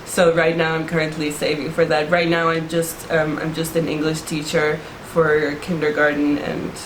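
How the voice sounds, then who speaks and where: A woman's voice: deep voice, completely flat delivery, moderate pace, slight background noise. A young woman from Taiwan in a casual interview, talking loosely with lots of "like" and restarts. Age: young adult. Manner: rambling, many "like" fillers and restarts. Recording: echoey room.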